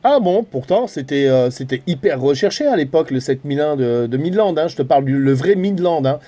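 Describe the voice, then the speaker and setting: A man's voice: fairly high pitch, lively intonation, brisk, warm tone. A middle-aged man from France chatting casually and colloquially as a hobby CB radio operator. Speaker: amateur CB radio operator and vlogger. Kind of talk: casual chat over CB radio.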